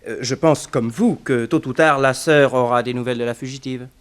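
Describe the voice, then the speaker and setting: A man's voice: mid-range voice, a little fast, clear, resonant tone, lightly acted. Man as an eager young detective's assistant. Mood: earnest, deferential. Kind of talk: 1960s French radio crime drama acting.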